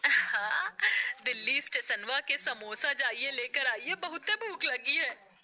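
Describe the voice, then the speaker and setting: A woman's voice: high-pitched, expressive, slow pace, bright, forceful tone, voice pushed up, full character voice. A woman voicing a naive, fussy young village wife with a rural eastern Uttar Pradesh accent in a comic cartoon. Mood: eager. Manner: rustic, plaintive. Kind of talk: comic Hindi cartoon story dub. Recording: music bed under the voice.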